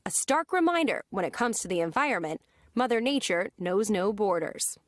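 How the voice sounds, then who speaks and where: A female news correspondent narrating a scripted report in a crisp manner. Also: medium pitch, sing-song, moderate pace, no room sound, clean studio sound.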